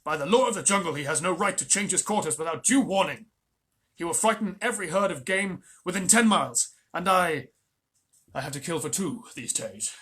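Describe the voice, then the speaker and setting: A reader voicing a proud father wolf from a storybook, loud, angry and commanding. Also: mid-range voice, lively intonation, a little fast, husky. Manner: angry and commanding, old-fashioned speech. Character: proud, fierce male wolf, a protective father. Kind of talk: storybook reading.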